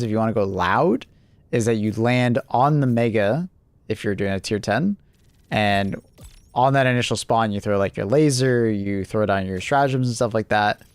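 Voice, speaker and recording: A man's voice: medium pitch, no room sound. A young man hosting a gaming livestream, chatting casually and rambling with lots of restarts. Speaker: livestream host. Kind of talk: casual chat while gaming.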